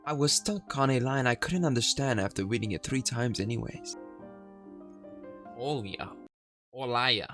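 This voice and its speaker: A man who streams games, reading a horror game's story aloud in a slow, dramatic storytelling way. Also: medium pitch, a bit bright, faint music behind. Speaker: gaming streamer.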